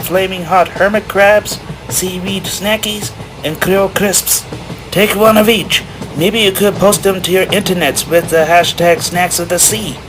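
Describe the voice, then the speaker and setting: Man voicing an eager high-pitched sea pony porter. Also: natural voice, poor audio. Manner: formal, stilted, over-eager salesmanship. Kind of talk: animated cartoon voice acting.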